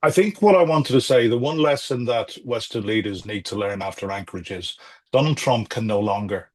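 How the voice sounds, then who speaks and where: Man, middle-aged, husky, lowish voice, video call, hesitant measured delivery. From Ireland or Britain. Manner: measured, many fillers and restarts. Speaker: guest, former diplomat. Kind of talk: remote interview, political commentary.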